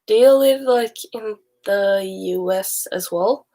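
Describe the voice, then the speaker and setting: Slow-talking young Swedish-accented woman streaming. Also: mid-range voice, warm tone, poor audio. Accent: Swedish with mixed Texan, Australian and British bits. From Sweden. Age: young adult. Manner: chatty, rambling, laughs often. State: sick. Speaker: livestream host. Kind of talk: casual livestream chat with viewers.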